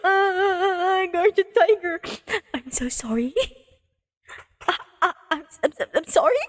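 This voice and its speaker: A woman livestreamer chatting playfully, with a giggly, gushing, expressive way of talking. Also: extremely high pitch, slow pace.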